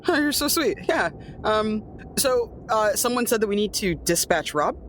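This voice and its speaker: A woman's voice: medium pitch, expressive, normal speed, slight background noise. A woman playing a tabletop game, improvising in character and chatting casually. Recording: music behind the voice. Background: music.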